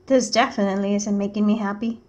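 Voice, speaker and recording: A woman's voice: mid-range voice, steady tone, fast, darkish tone, pitched below the performer's own voice, lightly acted. A woman voicing a discontented grown man in a storybook cartoon, plain-spoken and grumbling. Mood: grumbling, unhappy.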